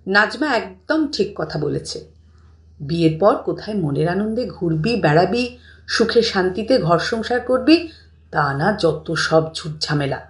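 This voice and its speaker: A woman's voice: fairly low pitch, lively intonation, a little slow. A woman voicing an affectionate, frank elderly grandmother in a story, tender and coaxing toward her grandson. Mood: earnest urging. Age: older. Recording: roomy, distant mic, music bed.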